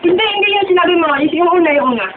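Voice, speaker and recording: A hounded young Filipino woman acted by a teenage girl in an amateur horror phone scene. Her voice is extremely high and quick, over a tinny, echoey line. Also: lively intonation, a little hiss or hum. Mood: uneasy. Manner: pleading, repetitive, agitated.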